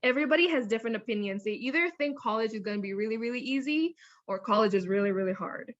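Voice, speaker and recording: A woman who is a university counselor, answering student interview questions in a chatty, lively way and often correcting herself. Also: fairly high pitch, fast, no echo, muffled recording.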